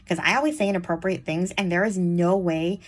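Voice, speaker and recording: A woman's voice: lowish voice, a little fast. A woman with a childish-sounding voice, chatting casually and frankly to her viewers, rambling with lots of fillers. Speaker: vlogger. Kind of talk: casual chat to camera.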